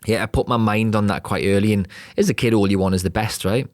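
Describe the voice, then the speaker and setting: A man's voice: fairly low pitch, fast talker, no room sound, studio quality. A man in his thirties with a Geordie accent, hosting a podcast and telling his own story bluntly, with a streetwise tone and the odd laugh mid-sentence. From Newcastle upon Tyne, England. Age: 30s. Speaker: podcast host. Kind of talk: candid personal storytelling.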